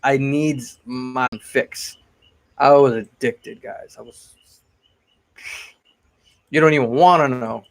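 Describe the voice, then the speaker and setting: A man's voice: mid-range voice, slow, long pauses. A man in his forties from Connecticut, chatting casually on a live stream as he answers viewer questions about his cosplay builds. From Connecticut, USA. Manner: chatty and rambling, often repeats himself.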